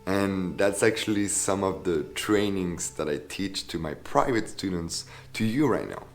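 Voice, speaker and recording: A man teaching as a voice coach in a casual video tutorial, enthusiastic and often checking in with "yeah?". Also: low-pitched. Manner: enthusiastic, tags sentences with "yeah?".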